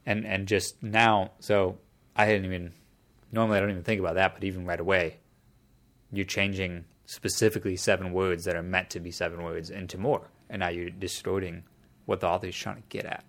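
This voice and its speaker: A man's voice: deep voice, flat delivery, a little fast, fairly bright tone. A man in casual conversation, explaining a topic at length in a thoughtful way, often restarting his sentences.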